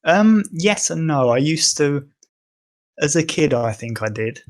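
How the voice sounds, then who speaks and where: A man's voice: a little high, big pitch swings, a little slow. A young man from England, a podcast guest, talking casually and hesitantly with many ums and restarts. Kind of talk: casual conversation.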